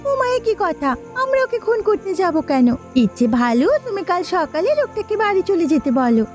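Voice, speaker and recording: A woman's voice: very high-pitched, sing-song, a little fast. A woman playing a bandit's questioning, complicit wife in a Bengali cartoon. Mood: worried. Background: background music.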